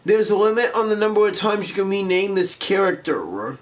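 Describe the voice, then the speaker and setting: High-voiced man doing game walkthrough commentary. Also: sing-song, brisk. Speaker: gameplay walkthrough host. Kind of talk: reading game text aloud, casual let's play commentary.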